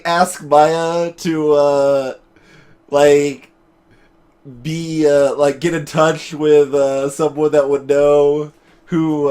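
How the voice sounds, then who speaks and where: A man's voice: on the high side, darkish tone. A man giving casual gaming commentary as a let's play host.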